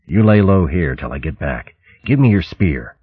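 A man voicing a bold, sturdy mouse chieftain in a storybook, speaking briskly and with command. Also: deep voice, expressive, no room sound, phone-quality sound. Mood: firm, urgent. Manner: brisk, commanding, gruff banter. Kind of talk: audiobook character voice.

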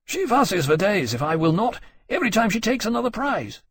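A man's voice: high voice, big pitch swings, a little fast, slightly rough, no echo, pitched above the performer's own voice, exaggerated voice. A man voicing a harried military captain, frank, rueful and easily embarrassed, speaking emphatically in an audiobook story.

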